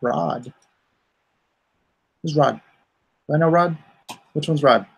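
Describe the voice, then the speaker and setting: A man's voice: a little high, slow pace, creaky, slightly rough. A man hosting a casual livestream chat, rambling and thinking aloud. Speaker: comic artist hosting a livestream.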